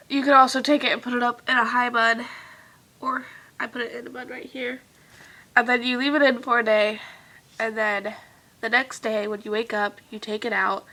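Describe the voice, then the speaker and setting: A young woman chatting casually to her viewers in a vlog, her nose stuffed and congested, rambling along with lots of fillers and trailing off softly now and then. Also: on the high side, low-quality recording. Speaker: vlogger.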